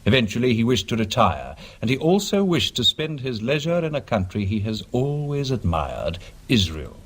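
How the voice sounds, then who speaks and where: Male actor, British, radio drama, smooth sinister gentleman, formal and measured, expressive pitch. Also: natural voice. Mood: smooth, confiding.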